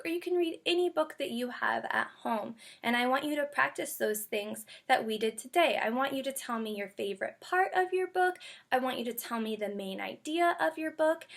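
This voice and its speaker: A woman with a fairly high voice speaks as an elementary teacher leading a lesson for young children. She is instructive, repeating key points and asking guiding questions, and she stretches words out for her listeners. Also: vocal fry, a bit bright. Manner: stretches out and sounds out words slowly. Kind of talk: read-aloud phonics lesson for young children.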